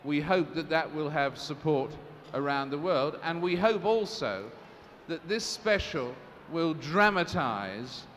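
A man's voice: fairly high pitch, expressive, slow pace, hardly any pauses, fairly bright tone. A man moderating a press conference, speaking formally and measuredly, with frequent restarts and fillers. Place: large assembly hall. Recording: reverberant hall, faint noise.

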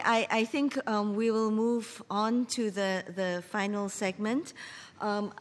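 A woman moderating a conference panel, courteous and hesitant, with many fillers. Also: medium pitch. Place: conference hall. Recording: long hall reverb.

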